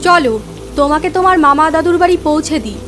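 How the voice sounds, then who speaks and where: A mysterious, affectionate village aunt from a horror cartoon, played by a woman. Her voice is very high, with a coaxing, familiar manner. Soft music and rain run behind her. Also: lively intonation, fairly quick. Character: mysterious affectionate aunt appearing on a rainy night. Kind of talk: cartoon horror story dialogue.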